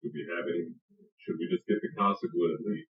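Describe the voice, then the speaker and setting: An earnest adventurer, acted by a man at a game table, speaks in a low, creaky voice. He is blunt and wary, questioning formally and urgently. The sound is roomy and muffled. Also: big pitch swings, fast talker. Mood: wary, concerned.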